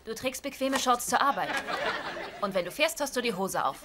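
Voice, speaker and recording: An actress playing a sharp, exasperated wife in a German sitcom dub, dry and bossy, over loud noisy TV audio. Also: female voice, fairly high pitch, lively intonation, normal speed, a crowd in the background, natural voice. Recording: loud noisy dubbed TV audio with laugh track.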